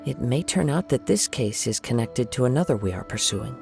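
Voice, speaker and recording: An actor playing a calm, modest young wandering samurai in a video game, composed and formal. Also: male voice, mid-range voice, a little fast, creaky, over music. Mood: modest, businesslike.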